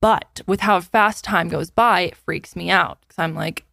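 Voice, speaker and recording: A woman of twenty-seven chatting casually and candidly as a podcast co-host, informal and quick to swear. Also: fairly low pitch, creaky, pushed and bright, no room sound, studio quality. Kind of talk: casual chat answering listener questions.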